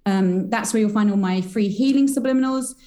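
A woman's voice: mid-range voice, brisk, dark tone. A woman, a guest on a podcast, chatting in a bubbly, rambling way full of spiritual talk. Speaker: podcast guest, energy healer. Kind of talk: casual podcast chat.

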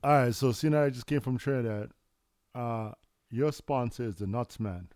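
A man's voice: medium pitch, moderate pace, a little mellow, no room sound, clean studio sound. A man in casual, teasing banter with friends as a podcast co-host.

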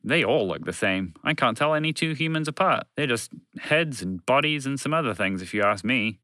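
A man's voice: medium pitch, expressive, normal speed, sharp tone, dry recording, pitched above the performer's own voice. A man voicing a bewildered, persistent talking dog in a children's story, questioning and incredulous.

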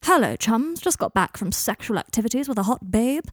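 A woman's voice: a little high, lively intonation, a little fast, no echo, clean studio sound. A woman voicing a sardonic, self-deprecating young man in therapy, rambling and gloomy, in audiobook dialogue. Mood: bitter, wounded. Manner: sarcastic, rambling confessions with abrupt breaks.

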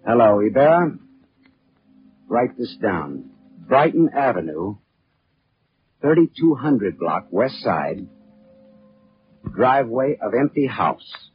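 An American actor playing a wry, tough private detective in a 1940s radio drama, terse and deadpan. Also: male voice, mid-range voice, takes it slowly, pauses often. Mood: brisk, urgent. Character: wry, tough male private detective narrating his case. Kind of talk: 1940s radio drama acting, hardboiled narration. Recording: dull old 1940s radio, music behind.

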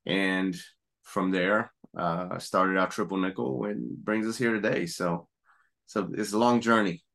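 A middle-aged man from Puerto Rico, a guest in an interview, telling his story in a relaxed way with frequent restarts. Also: deep voice, a little slow, vocal fry. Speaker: guest, apparel company founder. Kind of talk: conversational interview.

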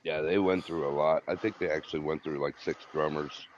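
An easygoing man co-hosting a podcast, with a very deep voice as he shares stories. The sound is dull and lo-fi. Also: fairly even intonation, fairly quick, a little hiss or hum. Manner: easygoing, storytelling, agreeable. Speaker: co-host, drummer. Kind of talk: casual chat.

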